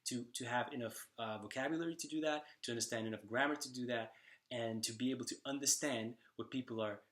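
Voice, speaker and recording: Young slovak guy vlogging, mid-pitched, lots of ums and restarts. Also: fast talker, a bit bright. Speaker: language-learning vlogger. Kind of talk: casual talk to camera.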